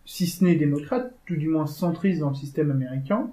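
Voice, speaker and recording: A man explains political strategy in a measured way, pausing now and then. His voice is fairly high and mellow. The room around him echoes. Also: a little hiss or hum. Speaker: co-host. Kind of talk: casual discussion.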